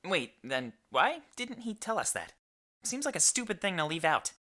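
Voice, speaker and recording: Lively, bright, blunt furry companion. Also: female voice, on the low side, moderate pace, full character voice. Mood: puzzled, blunt. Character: blunt, protective, spirited young furry companion. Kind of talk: visual novel voice acting.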